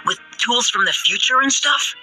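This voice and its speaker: A man's voice: very high voice, expressive, moderate pace, poor audio. An actor playing an eager, curious, sulky young boy with informal, boyish speech in a video game. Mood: curious, complaining. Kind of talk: scripted video game voice acting. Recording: loud music over the voice.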